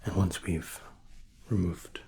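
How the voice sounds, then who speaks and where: A man softly explaining spa treatments in an ASMR roleplay, whispery and breathy, with a gentle, reassuring, attentive manner. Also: sits low, fairly even intonation, slow, a little hiss or hum. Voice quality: whispery, breathy, soft-spoken.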